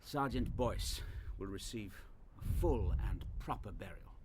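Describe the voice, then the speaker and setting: A man's voice: fairly low pitch, expressive, a little slow. Man acting a formal army lieutenant. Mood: formal, proud. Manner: formal, clipped officer's phrasing. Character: formal, commanding British army lieutenant, praising his men. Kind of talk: audio drama acting. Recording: clear background noise.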